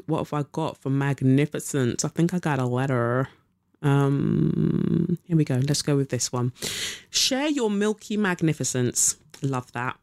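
A woman's voice: deep voice, darkish tone. Chatty, rambling woman podcaster. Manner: chatty, rambling, swears casually, trails off with ums. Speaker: podcast host. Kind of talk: casual spiritual podcast chat.

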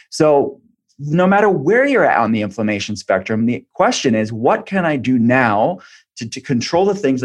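A man speaking as an expert guest in an interview, explaining point by point and repeating phrases for emphasis. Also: medium pitch. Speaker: guest expert, functional medicine practitioner.

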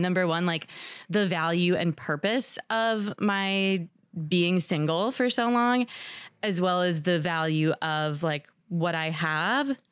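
Candid woman in her thirties, lowish voice. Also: clear, resonant tone, dry recording, studio quality. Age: 30s. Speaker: guest. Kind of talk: casual interview talk.

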